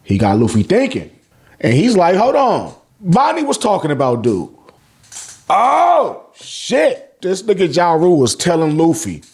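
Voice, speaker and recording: A man with a fairly high voice hosts an animated, casual story recap. He talks in loose, profane slang and acts out reactions as he retells things. Words often come out in repeated bursts before the sentence moves on. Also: a little slow. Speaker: host of a manga review channel.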